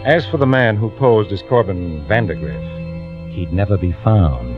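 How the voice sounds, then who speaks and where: Calculating everyman impostor for vintage radio thriller; lowish, measured voice, muffled sound over prominent music. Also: expressive. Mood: calm, calculating. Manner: measured, confiding first-person narration. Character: quietly scheming male clerk turned impostor, narrating his plot. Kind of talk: 1940s radio acting, scripted narration.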